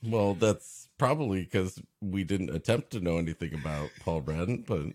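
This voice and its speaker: A man's voice: low voice, animated, moderate pace, a little mellow. A man co-hosting a comedy podcast in casual banter, wry and playfully teasing. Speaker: podcast co-host.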